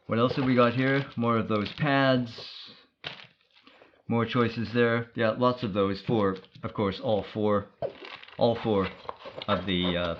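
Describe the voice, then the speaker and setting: A man explains a product in a measured, matter-of-fact way, as a reviewer thinking aloud. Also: lowish voice, lo-fi sound, background noise.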